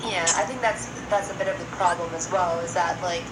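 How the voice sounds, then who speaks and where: A woman's voice: lowish voice, brisk, very noisy. A woman chatting casually as a podcast co-host in a rambling, hedging way. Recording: roomy echo with loud music behind.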